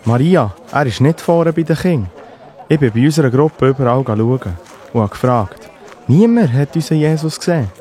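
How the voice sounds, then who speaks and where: A man's voice: mid-range voice, animated, moderate pace, warm tone, natural voice. A man playing a helpful, concerned father in a children's audio drama, speaking earnestly with a Swiss German accent. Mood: alarmed. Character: Josef, helpful, concerned father of young Jesus.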